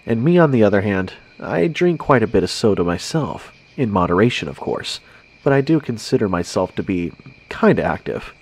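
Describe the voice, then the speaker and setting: Man, lowish voice, scripted first-person horror narration, conversational delivery. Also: sing-song, moderate pace, a little mellow. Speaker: scary story narrator.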